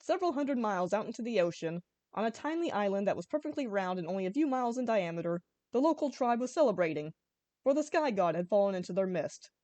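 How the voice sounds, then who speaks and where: Woman narrating goofy fanfic, expressive, pitch all over, dry sound. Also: quick pace, no echo. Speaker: story reader. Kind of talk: amateur comic story narration.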